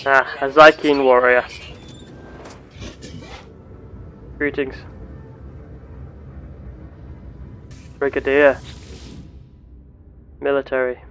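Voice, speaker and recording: A man playing a genteel space captain and explorer, speaking in formal, measured, old-fashioned wording as he narrates a game in character. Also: mid-range voice, level tone, sharp tone, faint music behind. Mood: composed.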